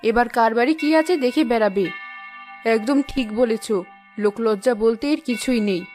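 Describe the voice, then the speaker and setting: A woman's voice: fairly high pitch, moderate pace, a little mellow, faint music behind, lightly acted. A woman voicing a spiteful, gossiping village woman in a cartoon, sneering and disapproving. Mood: scornful. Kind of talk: Bengali moral-story cartoon dubbing.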